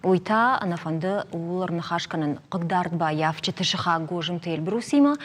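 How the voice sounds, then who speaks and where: Lower-pitched woman hosting a talk show. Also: animated, normal speed. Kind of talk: studio talk show conversation.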